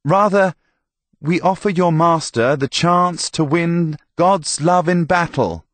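A man voicing an earnest, courteous medieval priest in an audiobook, formal and measured as he entreats. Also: fairly high pitch, no room sound, voice pushed up. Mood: courteous, entreating.

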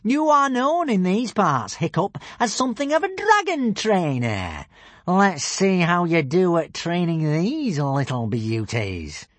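Loud, high, gloating villain. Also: male voice, wildly swooping pitch, slow pace, no room sound, heavily acted. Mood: gloating menace. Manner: pompous, projecting as if to a crowd. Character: treacherous villain announcer, gloating, theatrical, taunting. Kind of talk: audiobook character acting.

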